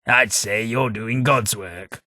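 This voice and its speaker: A man's voice: fairly low pitch, slow pace, bright, forceful tone, no room sound, exaggerated voice. A man voicing a snobbish, sardonic male ghoul aristocrat with an English accent, delivering game companion lines in a prim, dry upper-class drawl. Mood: disdainful. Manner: prim, snobbish, wryly sarcastic.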